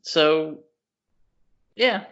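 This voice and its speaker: A man's voice: a little high, very slow, a bit bright, lo-fi sound. A man co-hosting a casual podcast, giving opinions emphatically, with slang, repetition and rhetorical questions. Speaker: podcast co-host.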